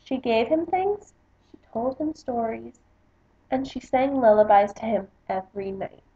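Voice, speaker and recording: A woman's voice: a little high, animated, measured pace, mellow. A woman book vlogger reading a picture book aloud in a casual, chatty style. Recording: slightly dull, roomy home recording.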